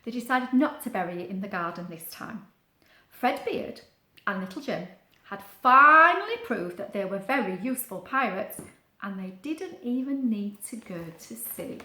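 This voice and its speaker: A woman's voice: medium pitch, normal speed, roomy sound. A woman reading a children's storybook aloud, expressively, with chatty asides and questions to the listener. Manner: expressive, explains words and asks the listener questions. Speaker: storybook reader.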